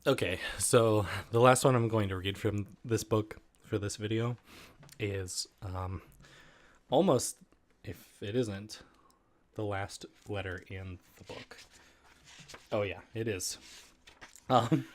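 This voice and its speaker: Guy doing a casual vlog read-aloud, halting n giggly, slow, really dry sound. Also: fairly low pitch, dry recording. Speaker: video host reading book aloud.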